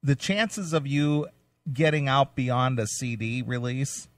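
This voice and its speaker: A man's voice: medium pitch, slow, far from the mic. A man hosting a radio interview, asking blunt, direct questions. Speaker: radio show host.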